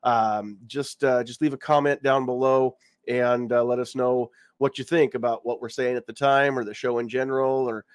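A man's voice: mid-range voice, fairly even intonation, moderate pace, clean tone, dry recording, clean studio sound. A man hosting a casual live podcast, congested from a cold and talking around a lozenge in his mouth. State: congested, getting over a cold. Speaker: podcast host. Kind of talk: casual live-stream chat.

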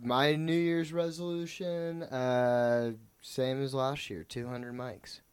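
Rambling, laughing comedian guest. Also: male voice, mid-range voice, flat delivery, slow pace, clear, resonant tone. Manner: rambling, laughs often, swears. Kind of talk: casual podcast chat.

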